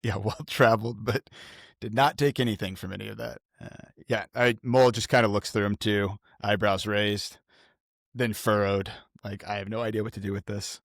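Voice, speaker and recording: A man with a fairly low voice talks casually as a player at a tabletop game. He is recorded dry, without noticeable echo. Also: steady tone, moderate pace, vocal fry.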